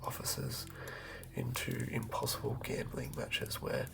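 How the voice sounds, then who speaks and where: A man giving a whispered, improvised ASMR narration as a game master, close to the mic, murmuring in a halting way as he thinks aloud. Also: medium pitch, huge pitch swings, creaky.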